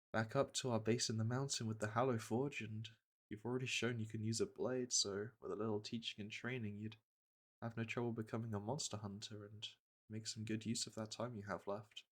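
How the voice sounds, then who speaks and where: A man playing a gentle veteran monster hunter in a fantasy ASMR roleplay, speaking softly and reassuringly, with a hesitant, matter-of-fact manner. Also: lowish voice, little pitch movement, normal speed, lightly acted. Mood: earnest, gentle. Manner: soft, hesitant, soothing delivery.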